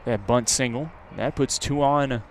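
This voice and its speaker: A man's voice: medium pitch, unhurried, crowd noise. A man calling live baseball play-by-play for radio in a conversational way, filling the gaps with player background. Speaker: play-by-play announcer. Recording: clear outdoor background noise. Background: outdoor ballpark ambience.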